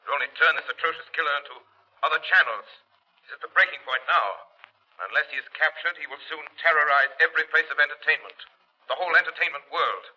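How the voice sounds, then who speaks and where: Mysterious avenger, very sharp commanding voice, grave, old radio, distant sound. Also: male voice, fairly high pitch, quick pace. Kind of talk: 1930s radio drama acting. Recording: distant, band-limited 1930s radio recording.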